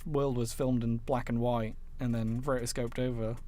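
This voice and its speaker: A man's voice: mid-range voice, flat delivery, moderate pace, warm tone. A man hosting a podcast, recapping and discussing films casually with joking, sweary asides. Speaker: podcast host. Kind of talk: casual film discussion with scripted plot recap.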